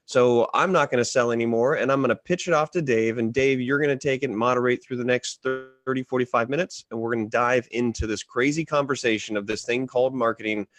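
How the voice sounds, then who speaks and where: A man's voice: mid-range voice, fairly quick, hardly any pauses, fairly bright tone, no echo. A man hosting a podcast, opening the show informally in a playful, showman-like manner. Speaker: podcast host.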